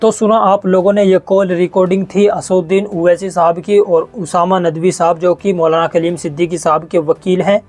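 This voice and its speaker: A man's voice: high voice, level tone, moderate pace, few pauses, darkish tone. A man presenting news informally to viewers, explaining and rephrasing his points as he goes. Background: background music.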